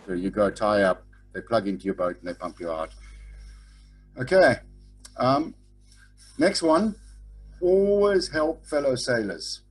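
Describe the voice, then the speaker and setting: A man's voice: medium pitch, expressive, unhurried, long pauses, slightly rough, soft background music. A middle-aged man teaching a sailing lecture over a webcam, blunt and informal, tagging his points with "okay?". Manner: frequent "okay?" tag questions. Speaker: sailing instructor. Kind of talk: online lecture. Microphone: webcam over video call.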